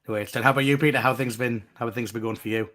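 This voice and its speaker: A man hosting a wrestling podcast, his voice croaky from being unwell, rambling and restarting. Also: medium pitch, a bit bright. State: croaky voice from recent illness. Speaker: podcast host. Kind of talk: casual podcast chat and news reading.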